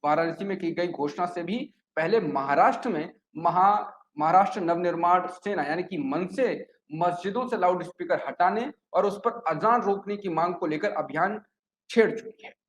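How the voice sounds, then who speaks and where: Guy reading news report, high voice, explains stuff, echoey room. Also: moderate pace. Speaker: news presenter. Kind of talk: scripted news report narration with explanatory asides. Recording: echoey room with clear background noise.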